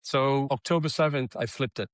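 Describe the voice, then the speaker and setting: A middle-aged man from Israel, a copywriter and advocate, telling his story in an interview in an earnest, steady way with frequent restarts. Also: medium pitch, normal speed, no room sound. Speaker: guest, creative copywriter and advocate.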